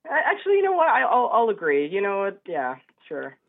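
An eighteen-year-old man from upstate New York calling a radio show on a phone line, debating casually in a hesitant, halting way. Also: high-pitched, wildly swooping pitch, gravelly. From upstate New York, United States. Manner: hesitant, halting, stammering restarts. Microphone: telephone. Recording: narrow, tinny phone line.

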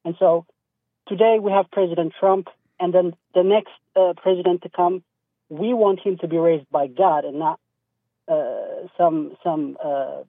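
A man's voice: high voice, fairly even intonation, a little slow, no room sound. A man from East Africa calling in to a radio show, speaking hesitantly with many fillers and restarts. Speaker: caller. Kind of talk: call-in radio conversation. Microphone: phone. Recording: tinny phone line.

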